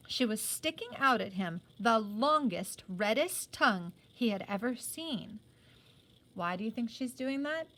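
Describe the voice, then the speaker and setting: A woman's voice: high voice, a bit bright. A woman teacher reading a story aloud expressively, adding informal, playful explanatory asides.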